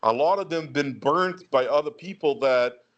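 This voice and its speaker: A man from Brazil, a guest speaking earnestly and haltingly in a casual interview. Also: on the high side. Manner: earnest, hesitant, many fillers and restarts. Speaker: guest entrepreneur. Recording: dull lo-fi audio.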